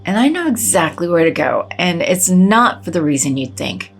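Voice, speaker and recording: A woman's voice: fairly low pitch, very animated, normal speed, slightly rough, soft background music, heavily acted. An actress playing a fearful, shaken woman, narrating and acting unsteadily in an audio drama. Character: fearful, shaken wife and schoolteacher.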